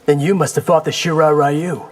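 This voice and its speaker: An actor voicing a confident young warrior in a fighting video game, cocky, wry and determined. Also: male voice, fairly high pitch, fairly even intonation, normal speed, a little mellow, big-room sound, faint music behind, lightly acted. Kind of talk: video game character acting.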